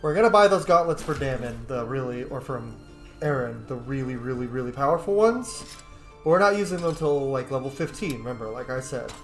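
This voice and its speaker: A man's voice: medium pitch, animated, fairly quick, roomy sound. A man giving casual commentary as he streams a video game playthrough. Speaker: gaming streamer. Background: faint game music.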